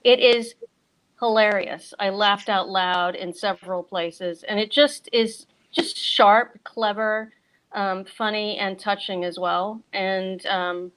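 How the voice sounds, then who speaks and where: A woman's voice: medium pitch, slow pace, fairly bright tone, clean tone. A middle-aged American woman chatting casually as a guest bookseller and author, telling things in a chatty way with casual asides. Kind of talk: casual chat on a virtual event.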